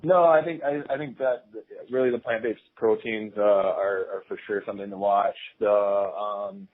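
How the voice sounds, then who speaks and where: Male panelist, low and flat with a husky edge, halting business commentary over telephone. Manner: halting, repeats words. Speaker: industry panelist from a company. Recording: narrowband muffled teleconference phone line.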